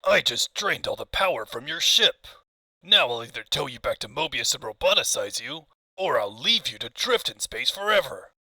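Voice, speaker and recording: Guy doing a boastful cartoon mad scientist villain, menacing and hammy, pitch swings around, dry recording. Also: sing-song, normal speed, vocal fry, bright, forceful tone, no room sound, heavily acted, poor audio. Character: pompous, gloating evil male mad scientist tyrant. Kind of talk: comic book fandub acting.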